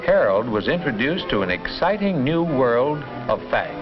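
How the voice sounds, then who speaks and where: A man playing an earnest schoolteacher, narrating a 1950s educational film in measured, formal phrases. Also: medium pitch, sing-song, natural voice. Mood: proud. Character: earnest male schoolteacher narrating his class's progress. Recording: dull 1950s film soundtrack with music.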